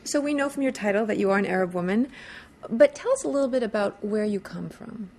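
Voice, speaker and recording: A woman's voice: medium pitch, lively intonation, quick pace, a little mellow. A woman hosting a TV news interview, giving a polished introduction and then asking conversational, probing questions in a studio. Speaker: TV interviewer, host.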